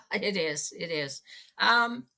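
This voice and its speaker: A middle-aged woman with a Canadian accent, telling her own story casually as an interview guest, pausing to think. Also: mid-range voice, expressive, gravelly, bright, forceful tone. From small-town Manitoba, Canada.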